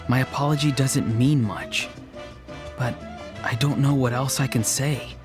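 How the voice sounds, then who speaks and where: Man voicing an earnest young grandson, mid-pitched, sheepish, sincere, game drama delivery, music playing behind. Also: steady tone, a little slow, natural voice. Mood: earnest, contrite. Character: earnest young grandson, contrite but stubborn would-be hero. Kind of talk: dramatic video game voice acting.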